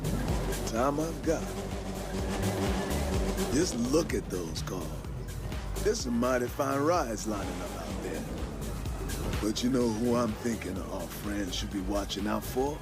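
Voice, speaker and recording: An actor playing an earnest, streetwise street-racing crew leader in a video game, talking colloquially and reflectively over music. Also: male voice, fairly low pitch, lively intonation, slow pace, long pauses. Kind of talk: video game cutscene narration and dialogue. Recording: music under the voice.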